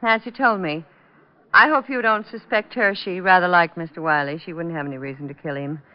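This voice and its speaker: A woman's voice: fairly low pitch, a little fast, close to an everyday voice. A woman playing a polite, composed young hair-treatment attendant in an old radio crime drama. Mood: polite, guarded. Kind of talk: 1940s-50s radio drama acting. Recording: dull old radio recording.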